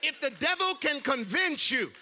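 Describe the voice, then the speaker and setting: A man preaching a sermon in a church, emphatic and loud, mixing humor with exhortation. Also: extremely high pitch, sing-song, normal speed, faint music behind. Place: church sanctuary.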